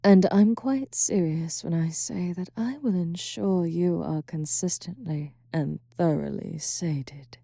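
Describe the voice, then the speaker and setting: A woman voicing a breathy, gravelly succubus. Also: lowish voice, dark tone, dry recording, studio quality, heavily acted. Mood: sultry.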